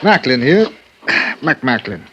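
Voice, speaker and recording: An actor playing a tough, plain-spoken inspector from Chicago in an old radio drama, blunt and folksy with plenty of slang. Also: male voice, medium pitch, big pitch swings, slow pace, pushed and bright, breathy edge, heavily acted. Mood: breezy, hearty. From south side of Chicago. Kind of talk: 1940s-50s radio drama acting. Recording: dull vintage radio recording.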